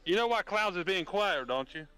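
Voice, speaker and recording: A man in a casual chat with friends, teasing them and stumbling on his words before he makes a point. His voice is very bright and high, with lively swings in pitch. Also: normal speed, faint music behind, poor audio. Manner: teasing, stammering restarts.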